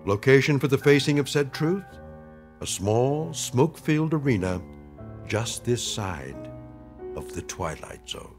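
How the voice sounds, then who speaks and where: A man giving scripted, measured dramatic narration for a radio play. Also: medium pitch, sing-song. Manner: measured, ominous, with hushed endings. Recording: radio drama with music bed.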